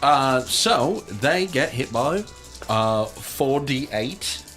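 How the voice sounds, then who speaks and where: A man's voice: medium pitch, lively intonation, drawn-out words, a bit bright, faint music behind. A man chatting casually as a player at a tabletop fantasy game, working out his moves.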